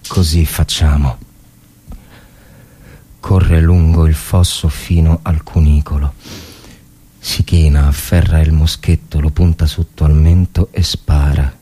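A man reading a war story as dramatic narration, grave and terse, in measured phrases. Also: a bass voice, warm tone, slightly rough. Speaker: reader of a short story. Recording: music behind the voice.

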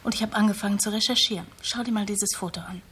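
An actress playing a sober, knowing investigative journalist in a radio drama, direct and matter-of-fact. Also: female voice, medium pitch, steady tone, quick pace, a bit bright, a little hiss or hum, natural voice. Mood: factual, serious. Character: sober, knowing female investigative journalist, loyal old friend.

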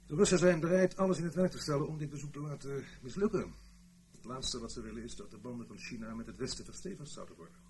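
An actor playing an earnest British intelligence officer, giving a formal, measured explanation in a Dutch radio play. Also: male voice, medium pitch, darkish tone, a little hiss or hum, close to an everyday voice. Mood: grave, explanatory. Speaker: intelligence briefer.

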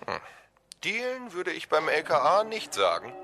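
An actor playing a dutiful, dry police subordinate reporting matter-of-factly in a radio drama. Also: male voice, a little high, huge pitch swings, normal speed, pushed and bright, faint music behind, full character voice. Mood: dry, insistent.

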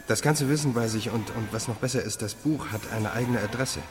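Guy acting earnest gentle programmer in a radio play, medium pitch, lots of reverb, music under it. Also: fairly quick, close to an everyday voice. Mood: enthusiastic. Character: earnest, visionary male AI programmer, devoted to his creation. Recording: long reverb, music behind.

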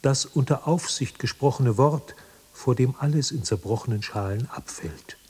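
A man's voice: medium pitch, moderate pace, darkish tone, breathy edge. An actor playing a grave, ominous narrator in a radio play, his voice set in long reverb.

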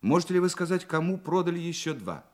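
An actor playing a confident, courteous detective in a Russian radio drama, questioning politely and deliberately. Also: male voice, on the high side, very animated. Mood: courteous, probing. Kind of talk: Russian radio play acting.

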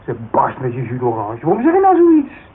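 A man's voice: medium pitch, huge pitch swings, brisk. An actor playing a vain, touchy, boastful young man who blusters defensively in a Dutch radio drama. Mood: indignant, flustered. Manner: defensive, blustering, stumbling over his words. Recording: tinny band-limited radio recording, faint hiss.

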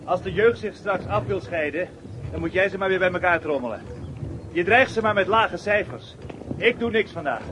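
An actor playing a jovial, easygoing schoolteacher who lectures a group of youngsters in a Dutch radio play. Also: male voice, high voice, moderate pace, noisy background, close to an everyday voice. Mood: breezy. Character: jovial, easygoing male schoolteacher leading a class outing.

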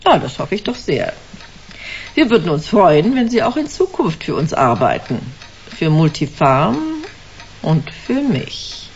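A woman's voice: deep voice, very animated, a little slow, husky, heavily acted. An actress playing a smug, commanding company director in a German sci-fi radio drama, suave and theatrical, savouring her words. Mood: businesslike, smug. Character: smug, commanding female corporate director selling a drug. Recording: dull, hissy radio play recording.

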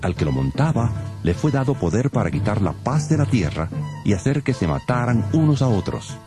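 A man's voice: medium pitch, big pitch swings, normal speed. A man narrating dramatized scripture in a solemn, dramatic manner. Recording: loud music behind.

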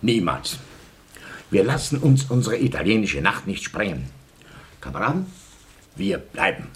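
A man acting in a radio play as a domineering, stubborn, blustering husband and politician, gruff and bossy. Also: fairly low pitch, big pitch swings, measured pace. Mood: defiant.

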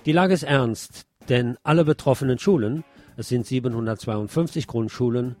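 A man presents scripted news on the radio in a deliberate, emphatic manner. His voice sits at a medium pitch, with wry commentary worked into the reading. Faint guitar music plays behind him. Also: darkish tone.

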